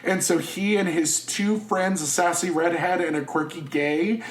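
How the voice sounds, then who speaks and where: An excitable man in casual podcast chat, his voice sitting a little high and bouncing around an echoey room. He gushes with plenty of likes and ums. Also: unhurried. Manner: chatty, enthusiastic, many fillers. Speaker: podcast co-host.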